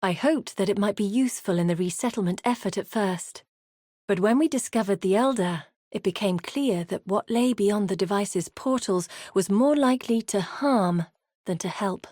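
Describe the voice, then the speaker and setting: An actress voicing an earnest, guilt-haunted woman explorer and guide in a fantasy video game, speaking in a grave, measured, confiding way. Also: medium pitch, dark tone, dry recording, studio quality, lightly acted. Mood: reflective. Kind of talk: scripted dramatic game dialogue.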